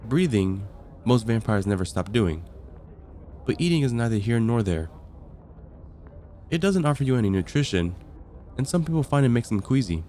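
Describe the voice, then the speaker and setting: A man playing a composed, matter-of-fact vampire counselor in an ASMR roleplay, speaking in a measured, clinical way. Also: mid-range voice, lively intonation, warm tone, a little hiss or hum, close to an everyday voice. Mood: clinical, reassuring.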